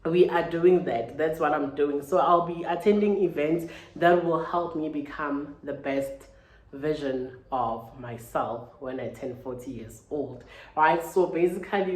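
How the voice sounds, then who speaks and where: A woman's voice: deep voice, unhurried. Woman in her 30s, chatty motivational vlog, keeps saying right? and you know, echoey room. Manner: earnest, repeats "right?" and "you know" often. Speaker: vlogger. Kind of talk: casual motivational talk to camera. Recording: roomy echo.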